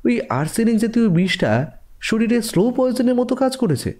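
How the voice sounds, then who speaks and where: High-voiced male audio drama narrator. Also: lively intonation, fairly quick, darkish tone.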